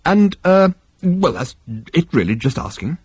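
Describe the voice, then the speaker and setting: Man playing a nervous, hesitant chap, pitched high. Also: wildly swooping pitch, fairly quick, slightly rough, full character voice. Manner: stammering, hesitant. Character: nervous, hesitant, polite, bewildered ordinary Englishman.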